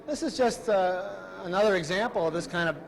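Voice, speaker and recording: A man's voice: sits high, brisk. An American man, an attorney giving press remarks in a blunt, fed-up, plain-spoken way. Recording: archival newsreel with crowd noise.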